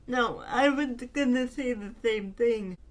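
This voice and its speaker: A woman co-hosting a podcast in casual chat, earnest and affectionate, her voice a bit raspy from talking. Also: on the high side. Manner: slow, earnest and affectionate, with frequent fillers. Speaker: podcast co-host.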